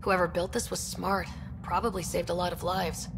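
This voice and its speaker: An actress playing a brisk, decisive space squad leader in a video game, speaking in confident, commanding, concise lines. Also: female voice, on the low side, level tone, in a large hall, noisy background, lightly acted. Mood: composed, decisive.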